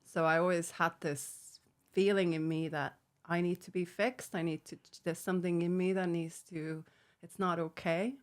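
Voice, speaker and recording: A woman's voice: lowish voice, steady tone, moderate pace. A middle-aged woman from Finland with a Finnish accent, talking frankly about her recovery as a podcast guest and laughing often between phrases. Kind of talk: candid personal recovery story.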